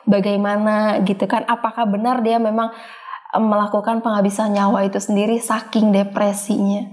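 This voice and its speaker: A woman's voice: mid-range voice, little pitch movement, moderate pace, darkish tone. A woman with an Indonesian accent hosting a casual talk show in an informal, colloquial, jokey way. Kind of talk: casual chat.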